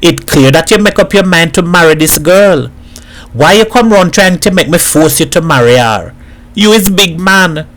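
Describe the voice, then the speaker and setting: A streetwise Trinidadian character from a storybook , as a male narrator plays him. He talks bluntly and teasingly in island idiom. The voice is pitched somewhat high for a man. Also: expressive, moderate pace, fairly bright tone. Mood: exasperated.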